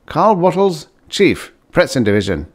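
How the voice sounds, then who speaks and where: A man's voice: a little high, sing-song, a little slow, voice pushed up. A man voicing a formal, officious government chief's written memo in an audiobook story. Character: stiff, officious bureaucrat chief dictating a memo.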